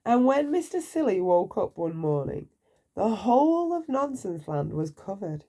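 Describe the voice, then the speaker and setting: A woman storyteller reads aloud for children at an unhurried pace. Her voice is mellow and a touch low, with animated, playful intonation. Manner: playful, asks the listener questions. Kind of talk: read-aloud bedtime story narration.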